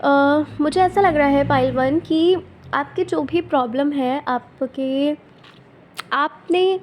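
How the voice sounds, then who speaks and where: A woman tarot reader with a sore throat, speaking softly and gently, often checking in with her viewers. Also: slow, slight background noise. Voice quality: soft and low-volume.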